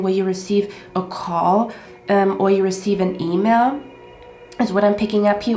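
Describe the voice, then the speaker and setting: A woman's voice: mid-range voice, darkish tone. A woman with a stuffy, congested nose giving a casual tarot card reading, repeating herself and often checking in with "okay?". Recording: roomy echo with faint music.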